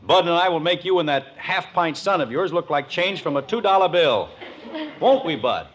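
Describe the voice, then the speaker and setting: High-pitched, blustering suburban dad. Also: male voice, animated, normal speed, fairly bright tone. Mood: indignant boasting. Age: middle-aged. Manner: blustering, competitive. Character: proud, touchy forty-year-old suburban father. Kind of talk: 1950s radio sitcom acting. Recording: noisy old radio broadcast recording.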